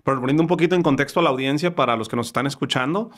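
A man in his thirties with a Mexican accent, hosting a podcast interview in a studio, talking with lively energy and frequent restarts. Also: mid-range voice, rapid-fire. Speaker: podcast host. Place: studio with wood-paneled wall. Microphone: studio mic on boom arm.

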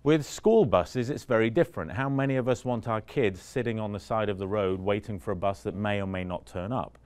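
Male speaker, 40s, low-ish voice, chatty conference talk, quizzes the room. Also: brisk, a little mellow, smooth. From Vancouver, Canada. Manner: conversational, asks the room questions, frequent "right?". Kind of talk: conference talk with audience questions. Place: conference room in front of an audience.

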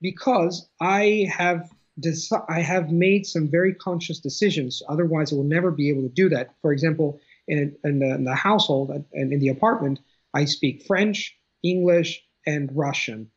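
A man's voice: on the high side, moderate pace, slightly rough. Italian-accented man on a lo-fi video call. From Italy. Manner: thoughtful, restarts phrases, gestures with hands. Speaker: interview guest, polyglot. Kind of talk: casual conversation. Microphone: video call webcam. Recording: dull lo-fi video call audio.

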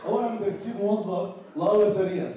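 A young Jordanian man with a fairly high voice does stand-up in a very echoey hall, heard from across the room. Audience chatter and background noise sit under his lively routine. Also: fast talker, muffled recording. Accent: Jordanian Arabic. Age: young adult. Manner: animated, acts out characters in raised voices. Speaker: stand-up comedian. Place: small indoor stage with a live audience. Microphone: handheld mic. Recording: echoey hall recorded from far off, with crowd noise.

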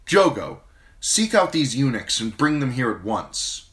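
A man voicing a fierce, commanding young queen, pitching his voice up and speaking firmly. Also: very animated, unhurried, a bit bright. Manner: raised pitch, firm and direct.

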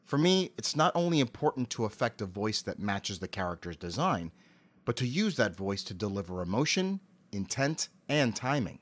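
A man speaking as an interview guest, giving prepared answers in an articulate, measured way. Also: on the low side, very animated. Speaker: interview guest, amateur voice actor and animator.

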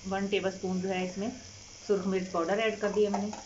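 Calm woman explaining a recipe. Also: mid-range voice, fairly quick, muffled recording, a little hiss or hum. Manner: calm step-by-step explaining with fillers. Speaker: cooking channel host. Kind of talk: recipe instruction over cooking footage. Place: home kitchen.

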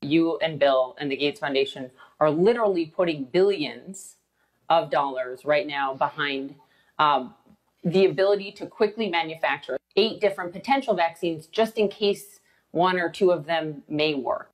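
A woman's voice: low-pitched, moderate pace, poor audio. A woman interviewing a guest on television, asking probing questions and giving short affirmations while she listens.

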